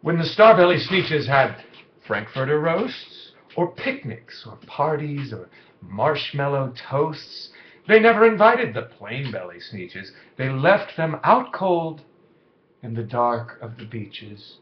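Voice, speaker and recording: Animated male storybook reader, echoey room. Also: a little high, dull audio. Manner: theatrical, rhyming cadence with big dynamic shifts. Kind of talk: dramatic children's story narration.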